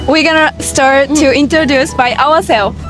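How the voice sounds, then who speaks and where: A woman's voice: high voice, moderate pace, fairly bright tone, rough recording. A cheerful young woman from Japan chatting casually in simple English, laughing often. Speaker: guest in vlog. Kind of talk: casual chat. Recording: music and crowd behind.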